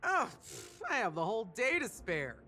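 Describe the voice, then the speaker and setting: A woman's voice: medium pitch, very animated, moderate pace, pushed and bright. A woman playing a flamboyant, flirtatious bartender in a video game, with showy, theatrical salesmanship. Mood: showy, welcoming. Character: flamboyant, flirtatious female dream-bar bartender. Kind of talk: video game character acting.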